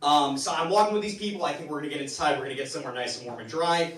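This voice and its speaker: A man hosting a live comedy show, telling stories in a halting, rambling way with stammered restarts. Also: fairly high pitch, fairly bright tone. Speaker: host of a comedy town hall show. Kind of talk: stand-up comedy monologue to a live audience. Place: indoor live comedy venue with audience. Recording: roomy, distant, noisy live venue recording.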